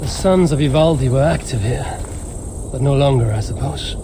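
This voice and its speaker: An actor playing a terse, imperious Norse god on a quest in a video game, wry and gruff. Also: male voice, medium pitch, normal speed, background noise, close to an everyday voice. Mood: weary, brusque. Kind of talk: video game dramatic acting. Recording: game music behind the voice.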